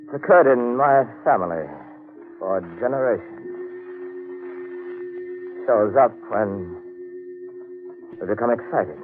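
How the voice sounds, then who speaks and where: An actor playing a brooding, ominous man with an eerie calm, measured and portentous, in 1940s radio drama. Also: mid-range voice, music in the background. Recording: muffled old radio recording.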